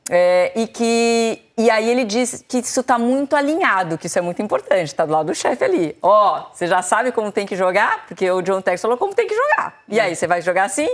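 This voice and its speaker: A woman's voice: mid-range voice, big pitch swings, brisk, few pauses. A woman, presenting and summarizing football news in a studio discussion. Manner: explains at length, summarizing and paraphrasing. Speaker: sports TV presenter.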